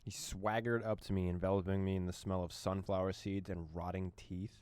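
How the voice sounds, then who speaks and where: A man's voice: sits low, little pitch movement, brisk, a little mellow, faint music behind. A man hosting a let's play, reading a visual novel aloud with playful asides and dramatic reading.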